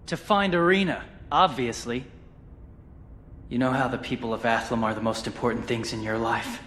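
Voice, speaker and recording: Headstrong game hero lad, played by a man, heartfelt and blunt, medium pitch, faint ambience behind. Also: normal speed, a bit bright, slight background noise, natural voice. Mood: determined, hurt. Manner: informal, blunt. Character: impetuous teenage boy hero, stubborn and heartfelt. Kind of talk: video game cutscene acting.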